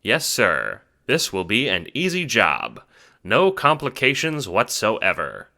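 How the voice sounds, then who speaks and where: Deep-voiced man narrating an audio drama. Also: huge pitch swings, slow pace, gravelly, bright, forceful tone. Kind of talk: scripted second-person dramatic narration.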